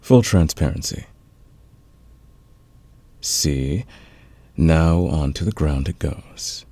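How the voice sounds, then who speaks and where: A man playing a smug, flirtatious fantasy villain in a romantic ASMR roleplay, teasing and intimate with a husky, gravelly voice. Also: extremely low pitch, warm tone, no room sound, heavily acted. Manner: teasing, intimate, drawn-out confiding delivery. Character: flirtatious, smug male fantasy villain, secretly smitten rival.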